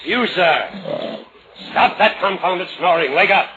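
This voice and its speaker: Husky, blustering pompous boss. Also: male voice, sits high, expressive, slow, bright, forceful tone, full character voice. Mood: indignant, imperious. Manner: imperious, barking, clipped questioning. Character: pompous, blustering male boss, a demanding publisher. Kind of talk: 1940s radio comedy acting. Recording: muffled old-time radio recording.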